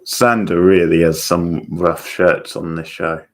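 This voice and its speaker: A man chatting casually in live commentary on a TV show, halting and trailing off mid-thought. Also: sits low, steady tone. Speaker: watchalong host commenting on a TV show.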